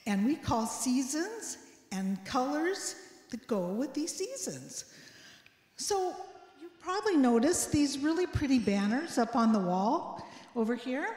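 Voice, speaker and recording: An older woman leads a children's message in a large church sanctuary, with long reverb around her. Her voice sits fairly high and swings in a lively way as she asks simple questions and echoes the replies. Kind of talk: interactive children's sermon.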